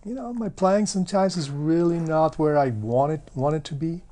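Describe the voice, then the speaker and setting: A man's voice: on the high side, normal speed, dark tone. Man, thoughtful. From Poland. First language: Polish. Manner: thoughtful, searching for words. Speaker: guest, bassist. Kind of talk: casual interview talk.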